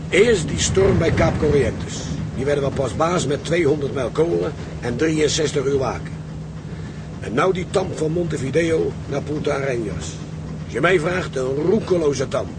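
An actor playing a gloomy, fretful ship's engineer with a grave, emphatic way of talking in a radio drama. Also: male voice, a little high, normal speed, close to an everyday voice. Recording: ship and wind noise behind.

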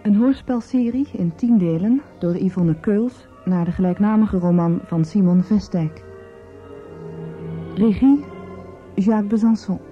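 A woman's voice: medium pitch, measured pace. A woman announcer reading scripted credits for a radio drama serial. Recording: dull old radio recording. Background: music.

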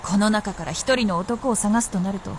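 A woman's voice: mid-range voice, normal speed, slight background noise, natural voice. A woman playing an aloof, proud immortal princess in a Japanese period radio drama, with archaic, composed, lordly speech. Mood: composed, instructing.

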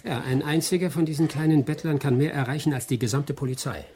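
An actor playing a confident, amused master detective who lectures jovially in old radio drama style. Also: male voice, on the high side, fast, dark tone, natural voice. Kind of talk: 1960s radio drama acting.

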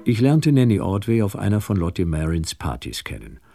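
A man's voice: on the low side, big pitch swings, fairly quick, vocal fry, loud music behind the voice. An actor playing a world-weary theatre producer who tells his story in a dry, wry, reflective radio drama style. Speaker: narrator and lead actor. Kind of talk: radio drama narration and dialogue.

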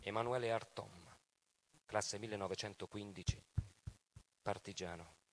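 A man giving a dramatic theatrical recitation of testimonies, in a solemn, measured, declamatory manner. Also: on the low side, fairly even intonation, rough recording. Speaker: stage performer reading partisan testimonies. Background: music.

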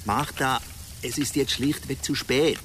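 A man's voice: mid-range voice, steady tone, a little slow, a bit bright, a little hiss or hum, close to an everyday voice. A man playing a composed, formal smuggling middleman in a German radio drama, precise and matter-of-fact. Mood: firm, reassuring. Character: composed, businesslike male money-smuggling middleman.

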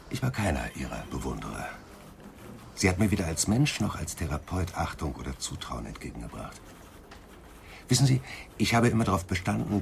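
An actor playing a vain, defensive older psychoanalyst under interrogation in a radio crime drama, testy and sardonic. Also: male voice, deep voice, gravelly, background noise, heavily acted. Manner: testy, self-important, sardonic.

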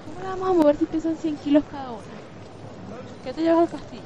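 Mujer haciendo de prefecta adolescente respondona, voz muy aguda. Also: female voice, high voice, fast talker, warm tone, close to an everyday voice. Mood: teasing. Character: sarcastic, mouthy teenage schoolgirl prefect. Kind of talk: amateur Spanish-language radio play. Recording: dull, clearly noisy home recording.

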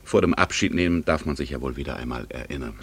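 Casting: a melancholy, ironic male admirer, middle-aged, husky and very low, courtly delivery sinking toward whispers, vintage radio play. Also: fast talker, heavily acted. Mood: wry, wistful. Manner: courtly, hesitant, drops to near-whisper. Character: wistful, ironic gentleman, a longtime secret admirer. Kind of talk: 1960s German radio drama acting.

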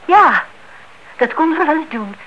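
An actress playing a sensible, sceptical young niece in a radio play, dry and teasing in manner. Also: female voice, high-pitched, lively intonation, moderate pace, sharp tone. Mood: reluctant, dry. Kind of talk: Dutch radio play acting. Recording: dull, noisy old radio-play recording.

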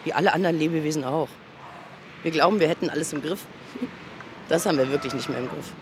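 A man's voice: on the high side, quick pace. A man rambling informally and philosophically in a blunt manner. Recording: noisy street with traffic behind.

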